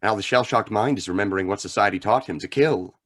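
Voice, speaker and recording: A man playing a grave, philosophical crime fighter with a measured, earnest manner in a radio drama. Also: fairly low pitch, a bit bright. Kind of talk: old-time radio drama acting.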